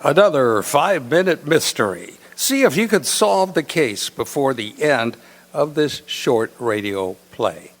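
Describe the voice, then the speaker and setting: A man's voice: a little high, very animated, unhurried. A man announcing as the host of a live radio theatre show, in an old-time radio advertising style. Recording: live stage performance.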